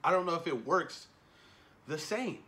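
A man in his thirties chatting casually and excitedly to camera, slangy and repeating himself for emphasis, as a reaction video host. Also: a little high, unhurried. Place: small indoor room at home. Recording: noticeable background noise, home camera recording.

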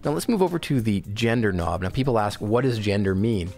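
A man narrating a software tutorial in a casual, informal, explanatory way. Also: fairly low pitch, lively intonation, fairly quick, creaky, faint music behind. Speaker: product tutorial presenter.

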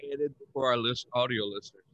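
A man's voice: medium pitch, quick pace, pushed and bright, no room sound, lo-fi sound. An older man casually hosting an online art show as a podcast host, talking over a video call. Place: indoor room on a video call. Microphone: headset.

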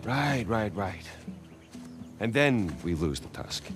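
A man's voice: on the low side, big pitch swings, slow pace, over music. An actor voicing a wisecracking middle-aged male adventurer in a video game, with an American accent and a colloquial, wry manner. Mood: casual. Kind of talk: video game cutscene acting.

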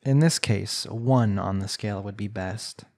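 A man's voice: fairly low pitch, vocal fry, a little mellow. A man playing a smug, bratty villain who flirts with his captive in an intimate, condescending ASMR roleplay. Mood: flirtatious, coaxing. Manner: teasing, condescending, unhurried pet-name sweet talk.

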